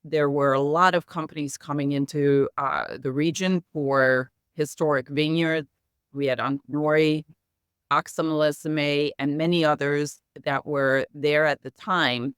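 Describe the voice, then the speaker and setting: Smooth, articulate American-accented woman, native Hungarian. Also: low voice, steady tone, unhurried, no room sound, clean studio sound. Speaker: interview guest, marketing executive. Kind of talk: conversational interview storytelling.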